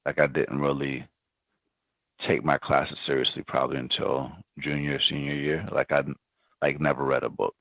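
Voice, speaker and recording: Very deep-voiced man on a phone-like line. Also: little pitch movement, moderate pace, vocal fry, no echo. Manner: reflective, many ums and restarts. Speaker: podcast guest, startup founder. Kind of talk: casual interview answers. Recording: dry, phone-like remote line.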